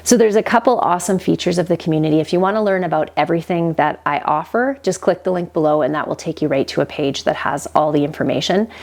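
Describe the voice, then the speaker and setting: A woman's voice: low voice, fast talker, few pauses, darkish tone. A woman with a stuffy, nasal head cold, hosting a casual, chatty kitchen vlog at home. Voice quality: nasal, congested. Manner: friendly, chatty, rambling with fillers. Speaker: homestead channel host.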